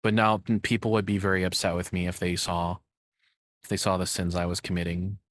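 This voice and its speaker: A man's voice: low voice, level tone, a little fast. A man giving casual, off-the-cuff commentary as a reaction streamer, in an informal way that allows for swearing.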